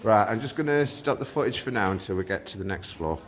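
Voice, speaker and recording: Mann mit Akzent, locker plaudernd, etwas tiefe Stimme, hallender Museumssaal. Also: male voice, lowish voice, lively intonation, quick pace. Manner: chatty, reads exhibit labels aloud. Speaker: vlog host. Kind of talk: casual museum walkthrough. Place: large indoor museum. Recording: echoey museum hall. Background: museum ambience.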